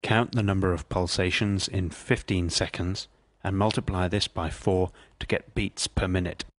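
A man reading scripted medical instruction in a measured, precise, impersonal manner. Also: low-pitched, steady tone, dry recording, studio quality. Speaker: instructional narrator.

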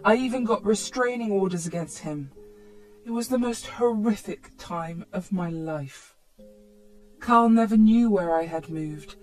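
A woman's voice: mid-range voice, a little slow, darkish tone, soft background music, poor audio. A woman reading a scripted first-person story as narrator.

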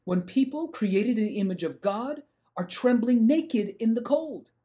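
Man voicing a fervent, high-pitched preacher saint. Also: animated, unhurried, dark tone. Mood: fervent rebuke. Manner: declamatory, emphatic.

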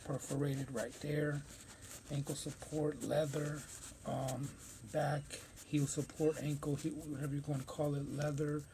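A man's voice: on the high side, little pitch movement, measured pace, vocal fry, background noise. A man hosting a casual sneaker unboxing vlog, talking in a loose, rambling way with plenty of slang, recorded on a phone camera in a room stacked with shoe boxes. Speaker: sneaker reviewer.

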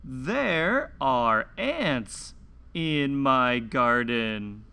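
A man presents a read-along lesson for young children. His delivery is very slow and deliberate, with sing-song emphasis and lively swings in pitch. The tone is bright and forward, and each word is carefully enunciated. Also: creaky, smooth. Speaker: teacher hosting a children's read-along show.

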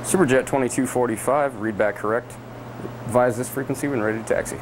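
An actor playing a young air traffic controller, giving brisk, clipped clearances over aircraft radio. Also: male voice, mid-range voice, steady tone. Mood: brisk, businesslike. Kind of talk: rapid clearance phraseology. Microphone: headset. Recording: very noisy aviation radio.

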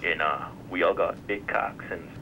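An American man phoning in to a show, giving casual prank-call shout-outs. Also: sits low, normal speed. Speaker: phone-in caller. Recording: dull, slightly noisy phone line.

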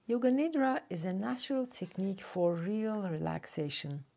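A woman leading a guided relaxation meditation as a yoga nidra teacher, speaking gently and unhurriedly with long pauses. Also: medium pitch, creaky.

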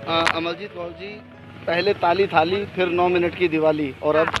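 Male reporter, high voice, halting probing questions, outdoors at a street protest, faint music underneath. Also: flat delivery, highs cut off. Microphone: handheld mic.

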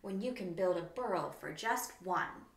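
A round, furry storybook wombat acted out by a woman reader, male and grumpy. He talks in short, gruff, huffy phrases like a loner who wants to be left alone, with room echo around the voice. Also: fairly low pitch, animated, normal speed. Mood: grumpy, annoyed. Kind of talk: children's storybook read-aloud. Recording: roomy, echoing indoor room.

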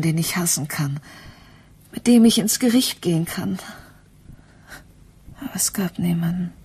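An actress playing a grieving, resigned mother in a German radio crime play, speaking in a subdued, halting way. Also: fairly low pitch, a little mellow, breathy edge, exaggerated voice. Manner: subdued, halting, often near whisper. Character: grieving, resigned mother who lost her child.

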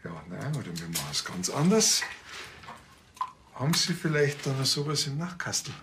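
A man's voice: medium pitch, sing-song, normal speed. An actor playing a laid-back, wily village policeman with a casual, folksy Bavarian accent. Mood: wry. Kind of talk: television crime comedy acting. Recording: faint background noise.

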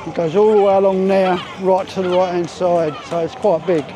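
Cockney vlogger, high voice, rambling street-tour patter over crowd chatter, camera mic. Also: male voice, fairly even intonation, normal speed, noisy background. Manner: chatty, thinking aloud as he strolls. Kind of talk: walking tour commentary. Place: outdoor resort streets. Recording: busy street crowd behind.

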